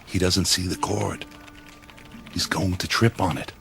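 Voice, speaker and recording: Low-voiced, meek office worker. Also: male voice, steady tone, measured pace, creaky, a bit bright, faint music behind, heavily acted. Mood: sly. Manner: hesitant, deferential, polite. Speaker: actor. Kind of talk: old-time radio style drama acting.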